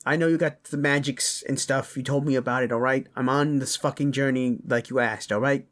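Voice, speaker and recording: A man's voice: mid-range voice, fairly even intonation, fairly quick, a bit bright, pitched above the performer's own voice, lightly acted. A man voicing a brash, impatient orc warrior and protective family man in a roleplay audio drama, swearing freely. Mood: urgent, impatient.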